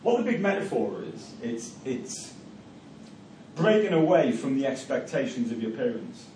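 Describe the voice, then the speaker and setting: A middle-aged man with a Geordie accent lectures to a class, his voice dark and mellow. He stumbles and repeats words as he thinks aloud. The mic sits far off in an echoey room with faint noise. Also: medium pitch, very animated, normal speed. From Newcastle, England. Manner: hesitant, repeats words, many fillers. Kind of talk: university lecture with class discussion.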